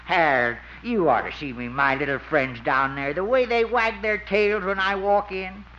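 A man's voice: high-pitched, fairly even intonation, moderate pace, sharp tone. A man playing a kindly, sentimental old country veterinarian in a 1950s radio comedy, folksy and chuckling. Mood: fond, proud. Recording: noisy, muffled old radio broadcast.

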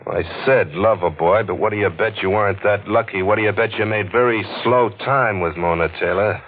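A man's voice: on the low side, brisk, a little hiss or hum, lightly acted. An American actor playing a tough, cynical newspaperman sleuth in a noir radio drama, with clipped, slangy, pressing delivery. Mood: aggressive, accusing. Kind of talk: 1940s radio noir acting with narration. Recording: muffled old radio drama recording.

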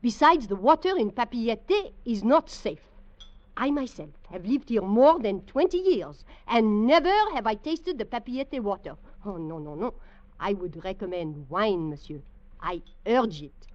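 An actress playing a middle-aged French restaurant proprietress, formal and insistently coaxing, in an old radio drama. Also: female voice, medium pitch, expressive, unhurried, darkish tone, dry recording, natural voice. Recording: muffled vintage radio recording.

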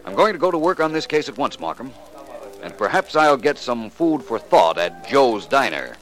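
An actor playing a cool, urbane gentleman detective in a 1940s radio drama, speaking in a dry, precise, faintly ironic way. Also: mid-range voice, sing-song, moderate pace, background noise, close to an everyday voice.

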